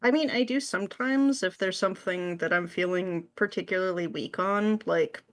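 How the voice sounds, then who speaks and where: A woman's voice: fairly low pitch, normal speed, fairly bright tone. A woman talking casually as an interview guest, telling things through little anecdotes in a joking, easily amused way. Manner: anecdotal, jokey, often laughing as talking. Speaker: interview guest, script writer. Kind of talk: casual chat.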